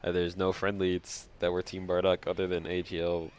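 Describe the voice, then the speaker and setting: Male game streamer, deep voice, audibly congested, casual and slangy, mutters phrases over again while playing. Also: monotone, moderate pace, a little mellow, smooth. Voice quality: congested, stuffy nose. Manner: slangy, repeats phrases to himself. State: nasally congested, breathing through mouth. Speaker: gaming video host. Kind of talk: casual live gameplay commentary.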